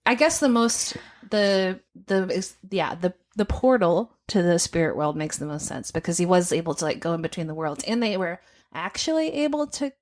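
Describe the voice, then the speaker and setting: A woman's voice: lowish voice, animated, normal speed. A woman co-hosting a podcast, talking casually about films and puzzling things out aloud. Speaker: podcast co-host. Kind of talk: casual film review chat.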